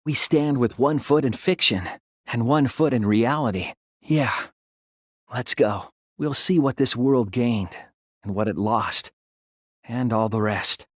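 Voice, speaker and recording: Husky, earnest teen boy detective. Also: mid-range voice, slow, no echo, studio quality. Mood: solemn conviction. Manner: earnest, careful explaining, hesitant. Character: earnest, soft-spoken, hesitant teenage boy detective. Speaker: video game voice actor. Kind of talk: dubbed detective game dialogue.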